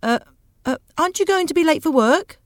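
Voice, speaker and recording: Female narrator as nagging storybook wife, impatient, scornful, high-pitched, sharp-tongued. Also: expressive, a little fast, no room sound, clean studio sound. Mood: curt, evasive. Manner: scolding, sharp-tongued, emphatic. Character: bossy, impatient, scornful wife of a timid giant.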